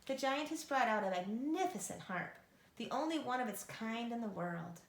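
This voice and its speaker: A woman reading a storybook aloud to children with expressive narration. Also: a little high, normal speed. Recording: echoey room.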